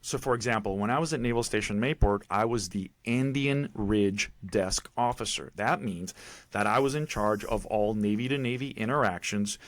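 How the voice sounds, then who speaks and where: Low-voiced Puerto Rican man, dry sound. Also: moderate pace, no echo. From Puerto Rico. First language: Spanish. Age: middle-aged. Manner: animated, emphatic storytelling. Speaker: guest. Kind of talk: casual storytelling interview.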